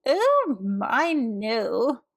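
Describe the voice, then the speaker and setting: Woman voicing a slow, kindly old woman. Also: a little high, huge pitch swings, exaggerated voice. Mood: kindly, coaxing. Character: kind, plump, sweet old woman, gently cheerful helper.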